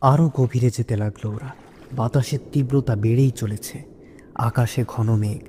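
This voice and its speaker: A man's voice: mid-range voice, fairly even intonation, normal speed, dark tone. A man narrating a scripted horror story as the storyteller. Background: music.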